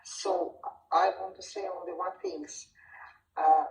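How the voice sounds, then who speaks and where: Woman, halting English. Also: medium pitch, lively intonation, vocal fry, reverberant, far from the mic, slight background noise, rough recording. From grew up in the Caucasus. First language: Russian. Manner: halting non-native English, apologetic. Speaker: audience speaker. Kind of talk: emotional personal plea.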